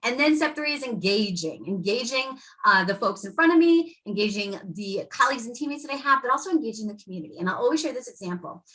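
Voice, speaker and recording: A woman educator leading a workshop for teachers, talking with lively energy and acting out quotes from students and parents. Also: a little high, quick pace, hardly any pauses, poor audio. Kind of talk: presenting to educators.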